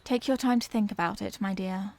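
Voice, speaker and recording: A woman's voice: medium pitch, steady tone, a little fast, a little mellow, no echo, close to an everyday voice. A woman voicing an anguished, tender Victorian lady vampire in an intimate audio roleplay, speaking in formal, earnest phrases. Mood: sorrowful, tender. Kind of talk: scripted audio roleplay, intimate dramatic monologue.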